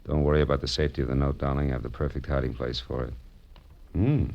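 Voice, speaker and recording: Very deep-voiced, wry radio detective. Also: male voice, little pitch movement, fast talker. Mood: dry, probing. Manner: dry, wisecracking, hard-boiled. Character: wry, world-weary male private detective narrating his case. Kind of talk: 1940s radio detective acting. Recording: dull vintage radio recording with music.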